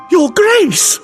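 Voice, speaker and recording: A distraught noblewoman in a fantasy game cutscene. She speaks very slowly and theatrically in a high voice. Reverb and faint music surround her. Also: exaggerated voice. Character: distraught woman at a royal court. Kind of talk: dramatic video game cutscene acting. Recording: long reverb, music underneath.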